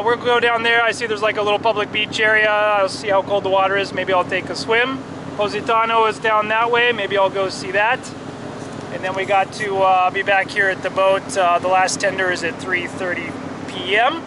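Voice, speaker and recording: A man's voice: high voice, noisy background. A man in his thirties rambling casually with many fillers as he films a travel vlog, on an action camera held at arm's length outdoors. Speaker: travel vlogger. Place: outdoors on a ship deck and in town.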